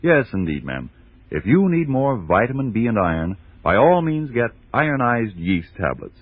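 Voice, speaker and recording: A man announcing in scripted 1940s radio style, with deliberate, emphatic salesmanship. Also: medium pitch, very animated, dry recording. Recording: tinny, band-limited old radio recording.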